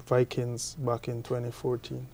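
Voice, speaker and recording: A young man from Guyana being interviewed about his cricket, answering haltingly and often repeating his words. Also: medium pitch, monotone, creaky, darkish tone, slight background noise. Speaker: cricketer guest.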